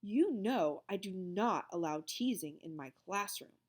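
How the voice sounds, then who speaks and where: A woman voicing a stern but kind male schoolteacher who speaks in a firm, measured way. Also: medium pitch, animated.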